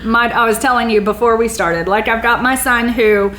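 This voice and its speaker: A woman's voice: a little high, fairly even intonation, normal speed. Chatty woman podcast host, noisy room. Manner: chatty, informal, self-deprecating. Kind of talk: casual chat interview.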